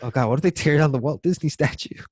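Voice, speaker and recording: A man's voice: on the high side, fast, darkish tone, slightly rough, no echo. A man co-hosting a podcast in casual, joking chat, slangy and swearing now and then. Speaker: podcast co-host.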